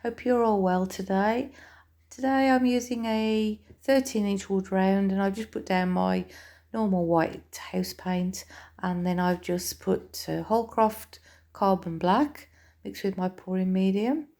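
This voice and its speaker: A woman with a croaky voice, calmly talking through her painting in a casual voice-over. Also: mid-range voice. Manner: relaxed, unhurried, with fillers and restarts. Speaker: fluid art vlogger.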